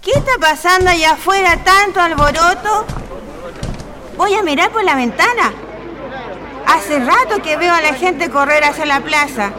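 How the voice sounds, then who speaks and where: A woman's voice: very high voice, moderate pace. An actress playing a bossy, protective mother in a radio play, with a Chilean accent and a scolding, exclaiming way of talking. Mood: curious. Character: bossy, protective, excitable small-town mother. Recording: street and crowd noise behind.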